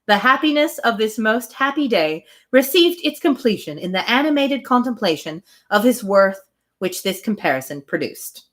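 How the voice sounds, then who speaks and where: A woman reading a classic novel aloud as host of a reading series, expressive and breaking into casual asides. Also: medium pitch. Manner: expressive, animated storytelling. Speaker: reader hosting a read-aloud series.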